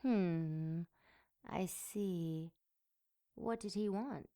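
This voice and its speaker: Menacing young femme fatale, low mellow voice, slow breathy purr, teasing, commanding, audio drama. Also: female voice. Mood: probing.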